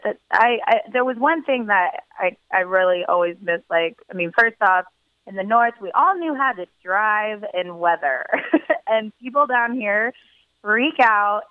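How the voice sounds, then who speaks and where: Young woman, from Pennsylvania, chatty and giggly, smooth dry voice, tinny phone line. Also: mid-range voice, no room sound. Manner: chatty, giggly, many restarts. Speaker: singer guest. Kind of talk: casual phone interview. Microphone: telephone.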